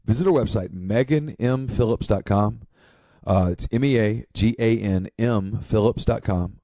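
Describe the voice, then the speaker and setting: A man's voice: on the low side, dark tone, no echo. A man speaking casually as a podcast co-host. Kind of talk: casual storytelling.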